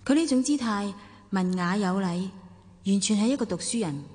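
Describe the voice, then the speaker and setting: A woman's voice: medium pitch, animated, normal speed, a little mellow, slightly rough. An actress playing a sociable, reflective well-off woman in a radio drama, with a Hong Kong Cantonese accent. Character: well-off, sociable, somewhat wistful woman narrating her romance.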